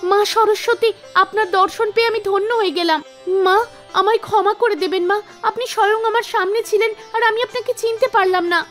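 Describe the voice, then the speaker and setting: A woman voicing an earnest, devout and kind young girl singer in an animated story, speaking emotively. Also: extremely high pitch, normal speed, soft background music, pitched above the performer's own voice. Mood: overjoyed, reverent.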